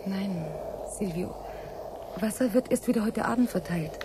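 A woman's voice: medium pitch, normal speed, noisy background, close to an everyday voice. An actress playing a bitter, skeptical woman colonist, blunt and embittered, in a radio drama. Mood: grim. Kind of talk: German radio drama acting.

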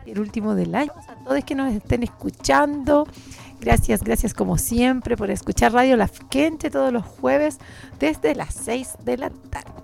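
A woman's voice: fairly high pitch, measured pace, vocal fry, darkish tone. A woman with a Chilean accent hosting community radio in an outspoken, informal, slangy chat style.

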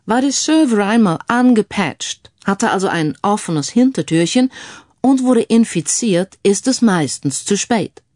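Woman playing calm, lecturing male tech expert. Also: medium pitch, a little slow, dry recording, studio quality. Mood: mildly lecturing. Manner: explanatory, mixes in English phrases. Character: calm male IT security expert, mildly schoolmasterly, peppering German with English. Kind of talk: audiobook character dialogue.

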